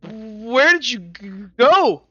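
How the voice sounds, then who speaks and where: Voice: medium pitch, very animated, slow, sharp tone, voice pushed up, full character voice. A game master voicing a nervous young male companion who stammers on his words. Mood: surprised. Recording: dull lo-fi recording.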